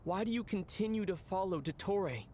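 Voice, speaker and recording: A woman playing a grave young traveler in a video game, questioning in a deliberate, accusing manner. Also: medium pitch, mellow, a little hiss or hum, lightly acted. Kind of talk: video game character acting.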